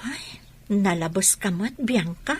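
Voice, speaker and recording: An actress with an Ilocano Filipino accent playing a concerned, questioning young student friend in a radio drama. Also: female voice, medium pitch, expressive, unhurried, husky.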